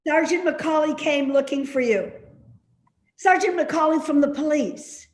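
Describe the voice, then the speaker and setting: Woman acting stubborn old mother, high echoey voice. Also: measured pace. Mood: accusing, defiant. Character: Ruth, stubborn, accusing, ailing elderly mother. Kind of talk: readers theater stage acting. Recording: echoey room sound.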